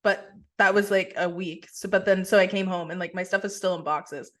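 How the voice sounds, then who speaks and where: A young woman co-hosting a casual podcast from her kitchen over a video call, talking in a relaxed, rambling way with many "like"s and restarts. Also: medium pitch, fairly quick. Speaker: podcast co-host. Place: kitchen at home.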